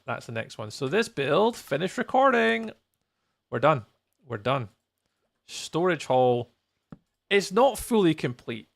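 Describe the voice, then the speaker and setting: A man's voice: mid-range voice, vocal fry. A man chatting casually on a gaming livestream, thinking aloud in a playful, rambling way. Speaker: live streamer, host.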